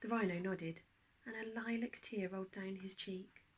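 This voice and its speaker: A woman narrating a children's storybook like a teacher reading aloud, expressive, with dramatic pauses. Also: medium pitch, slightly rough.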